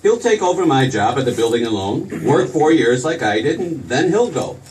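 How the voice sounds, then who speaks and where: A man's voice: mid-range voice, very animated, normal speed, darkish tone, lightly acted. An actor playing a restless, eager young man who stammers and repeats himself, in 1940s radio drama style. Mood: eager, affectionate. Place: theater stage. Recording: distant stage pickup with faint noise.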